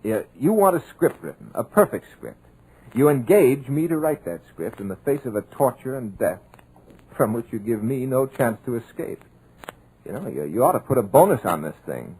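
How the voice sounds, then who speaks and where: An actor playing a wry, trapped screenwriter in a 1950s radio drama, with a husky, hard-boiled, wisecracking delivery in an old radio recording. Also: male voice, mid-range voice, big pitch swings, moderate pace, darkish tone, rough recording. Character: wry, trapped male screenwriter, tough-talking.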